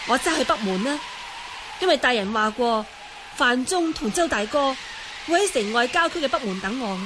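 Very high-voiced young martial-arts heroine. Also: female voice, lively intonation, normal speed, background noise. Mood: earnest. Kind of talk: Cantonese radio drama acting.

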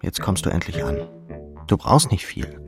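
A man narrates literary fiction in a very low voice with heavy fry. His delivery is a scripted, dramatic reading in the second person, set over clearly audible music. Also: sing-song, normal speed. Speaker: audiobook narrator.

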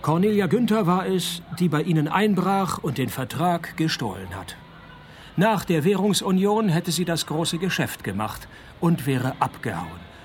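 A deadpan, higher-voiced male detective. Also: a little slow, a little hiss or hum. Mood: confronting. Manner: deadpan, laconic. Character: wry, cynical, unflappable male private detective narrator. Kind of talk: noir-parody radio play narration and dialogue.

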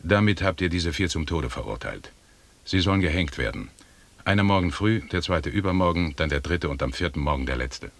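Stern male expedition leader for radio drama: very low, husky voice, measured and authoritative. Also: animated, fairly bright tone, heavily acted. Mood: cold verdict. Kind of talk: German radio drama acting.

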